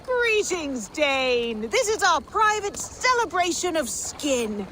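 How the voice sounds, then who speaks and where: A serene cult woman greets in a high, lilting voice. She takes her time, swinging widely in pitch with ceremonious, blissful grace, as in video game dialogue. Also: sing-song, slow, bright, forceful tone, a little hiss or hum, heavily acted. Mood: welcoming. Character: serene, welcoming, blissful female nudist cult reveller. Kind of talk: theatrical video game character acting.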